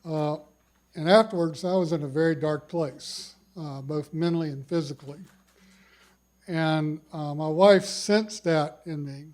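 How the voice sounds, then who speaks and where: A man's voice: fairly high pitch, darkish tone. Older man with a big voice. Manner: folksy, self-deprecating, reads from notes. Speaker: cancer survivor speaker. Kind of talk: personal story talk. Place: conference hall.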